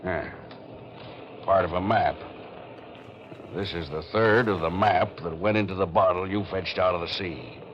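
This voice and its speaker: A man's voice: sits low, unhurried, long pauses. An actor playing a menacing, sneering small-time crook in 1940s radio mystery style, talking in tough-guy slang. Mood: sneering menace. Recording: dull, noisy old 1940s radio recording.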